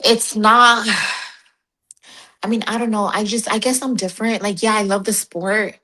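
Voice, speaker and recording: Raspy-voiced, blunt woman fitness competitor. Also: medium pitch, measured pace. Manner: blunt, laughs often, animated. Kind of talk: casual interview chat.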